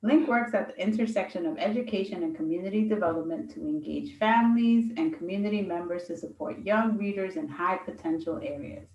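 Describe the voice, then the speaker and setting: A woman's voice: fairly low pitch, a little fast, hardly any pauses, darkish tone, muffled recording. A woman hosting a children's read-aloud program, giving a scripted welcome to families and young listeners. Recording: roomy, echoey sound.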